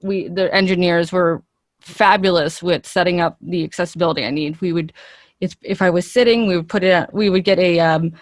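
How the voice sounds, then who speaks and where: A woman's voice: on the low side, steady tone, a little fast, dry recording, clean studio sound. A woman speaking as an interview guest, an actress giving earnest, talkative answers in a conversational way and thinking aloud.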